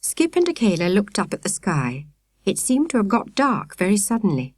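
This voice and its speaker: A woman reading a children's audiobook in an expressive storytelling manner. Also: mid-range voice, moderate pace, no room sound, clean studio sound. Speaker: audiobook narrator. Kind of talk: scripted children's story narration.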